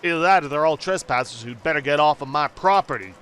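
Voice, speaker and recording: A man's voice: a little high, lively intonation, fast talker, a bit bright. A man voicing a cheerful, joshing hobo from a video game, with folksy, jovial phrasing. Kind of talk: read-aloud game character dialogue.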